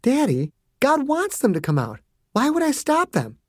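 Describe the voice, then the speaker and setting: Creepy sweet child in an audiobook, high and untroubled, voiced by the narrator. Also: huge pitch swings, normal speed, no echo, clean studio sound, heavily acted. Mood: sweetly eerie. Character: eerie calm little girl, sweetly cruel.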